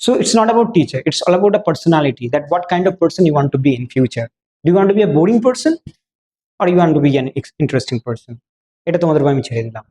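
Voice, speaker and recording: A man's voice: on the high side, sing-song, very fast, warm tone, poor audio. A man with a Bengali Indian English accent lecturing a class as a speaking teacher, emphatic, with rhetorical questions and repetition, switching between two languages.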